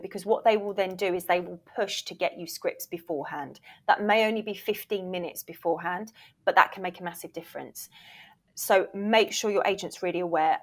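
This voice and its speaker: A woman speaks as a guest expert in a casual interview. She gives practical advice in an encouraging way and leans firmly on her key points. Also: mid-range voice. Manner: encouraging, emphatic. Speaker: interview guest, voiceover reel producer and former agent.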